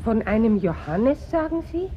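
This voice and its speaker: An actress playing a matter-of-fact museum clerk in radio play dialogue. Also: female voice, fairly high pitch, sing-song, moderate pace. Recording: dull, noisy radio recording.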